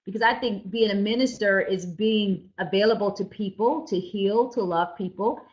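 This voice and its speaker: A woman's voice: medium pitch, moderate pace. A middle-aged woman minister interviewing a guest over a video call, asking careful questions with restarts. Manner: warm, searching questions with restarts. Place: indoors, room with red wall. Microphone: video call webcam. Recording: slightly dull lo-fi webcam audio.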